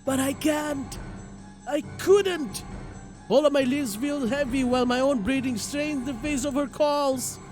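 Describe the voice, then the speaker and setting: A man streaming a game, reading its story aloud in an expressive, theatrical way. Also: extremely high pitch, unhurried, soft background music. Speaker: streamer playing a visual novel. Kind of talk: dramatic read-aloud narration with live reactions.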